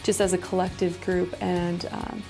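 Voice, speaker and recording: A middle-aged woman gives a casual interview as a school principal. Her voice sits on the low side with a frequent creak, and her intonation stays very even. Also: normal speed, music in the background.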